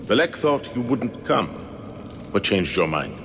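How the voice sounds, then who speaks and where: A man playing a solemn, measured leader of a secret brotherhood in a video game. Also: lowish voice, very animated, a bit bright, heavily acted. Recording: reverberant hall, clear background noise.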